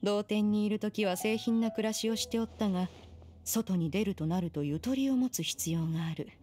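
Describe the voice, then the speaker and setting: A woman's voice: mid-range voice, moderate pace, mellow, natural voice. A woman playing a proud, aloof immortal master in an anime game, speaking in imperious, formal, archaic language. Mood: composed, lofty. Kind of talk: Japanese anime game voice acting.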